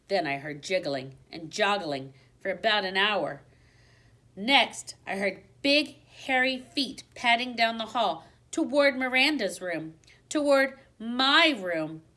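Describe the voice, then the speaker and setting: A woman reading a children's storybook aloud in an expressive, dramatic manner, dropping to a hush for suspense. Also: medium pitch. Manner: dramatic, with hushed suspenseful drops.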